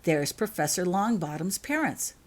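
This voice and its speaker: A woman voicing a solemn older boy who calmly guides younger children in a story reading. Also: on the low side, normal speed. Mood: quietly instructive.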